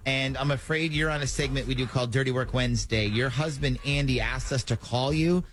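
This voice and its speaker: A man hosting a morning radio show, talking in an animated, conversational way during a prank call segment. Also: mid-range voice, a little fast.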